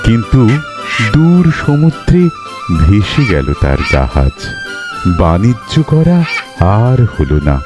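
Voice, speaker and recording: A man's voice: lowish voice, wildly swooping pitch, slow, long pauses. A man narrating a cartoon tale in a dramatic, scripted storytelling style. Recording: prominent music behind the voice.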